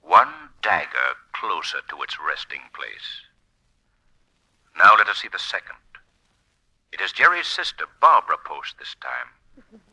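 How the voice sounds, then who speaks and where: A sinister, all-knowing storyteller of vintage radio melodrama. The voice is low and intensely sharp, with ominous, theatrical, drawn-out phrasing that relishes doom. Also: male voice, big pitch swings, vocal fry, breathy edge, heavily acted, rough recording. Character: sinister, omniscient male narrator relishing crime and doom. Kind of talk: 1940s radio acting, melodramatic narration. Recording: old 1940s radio broadcast.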